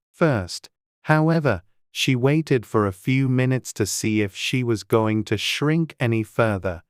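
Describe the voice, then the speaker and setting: A man's voice: medium pitch, dry recording, studio quality. A man reading scripted storybook narration as an audiobook narrator.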